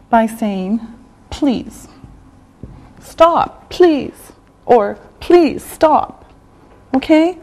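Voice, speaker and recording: A high-voiced woman teaches a beginner English lesson. She speaks very slowly and carefully, repeats each phrase, and checks understanding with a frequent "okay?". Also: darkish tone. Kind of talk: slow, deliberate classroom teaching.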